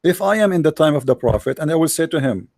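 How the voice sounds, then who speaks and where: Debate host, Arabic accent, forceful and pressing, dry mic. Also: male voice, fairly high pitch, animated, fast talker, vocal fry, no echo. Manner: forceful, argumentative, quotes sources. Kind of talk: religious debate.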